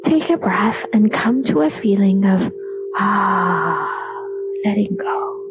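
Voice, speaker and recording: A woman leading a guided relaxation meditation in a soothing, unhurried way, with phrases trailing off breathily. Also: mid-range voice, lively intonation, poor audio. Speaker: yoga nidra meditation guide. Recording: voice over background music.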